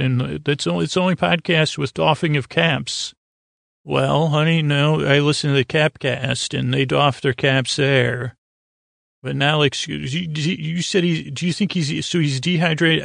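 Doting, dim, well-rested spouse played by a man: creaky, halting, affectionate breakfast musing for a podcast skit, dry sound. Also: on the high side, fairly bright tone, dry recording. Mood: puzzled musing, then curious musing. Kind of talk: improvised comic dialogue.

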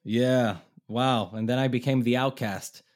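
A middle-aged man from Israel chats as a podcast guest. He tells long road stories in a loose, rambling way, restarting sentences and laughing along. His voice sits at an ordinary middle pitch. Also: steady tone, measured pace. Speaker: touring musician, guest. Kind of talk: casual storytelling.

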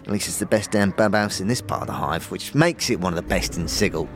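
Casting: a man as a talkative slum innkeeper for fantasy radio play, low register, folksy slang, hesitant asides. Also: fast talker, a little hiss or hum. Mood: proud, boastful. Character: gruff, chatty male tavern keeper in a fantasy slum. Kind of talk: fantasy audio drama acting.

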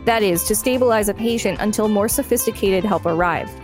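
A woman's voice: medium pitch, fairly quick, over music. A woman hosting a podcast, reading encyclopedia articles aloud with brief commentary. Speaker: podcast host and reader.

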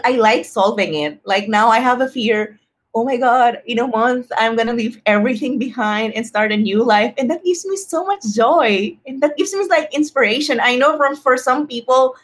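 A woman talking casually and personally as a podcast guest, expressively acting out her own thoughts as quotes. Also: sits high.

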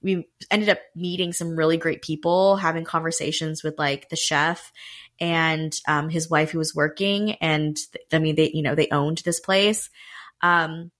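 A woman from North Carolina co-hosting a podcast, chatty and animated, filling her talk with "like". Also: deep voice, measured pace. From United States (North Carolina). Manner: chatty, animated, heavy use of "like". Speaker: podcast co-host. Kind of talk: casual chat.

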